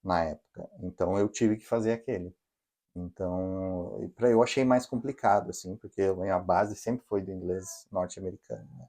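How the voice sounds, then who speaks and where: A Brazilian man with a mellow, warm tone co-hosts a casual podcast chat. He explains things patiently and speaks straight to his listeners with practical advice. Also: low-pitched, moderate pace. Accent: Brazilian Portuguese. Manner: explanatory, advising listeners directly. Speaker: podcast co-host. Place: living in Canada.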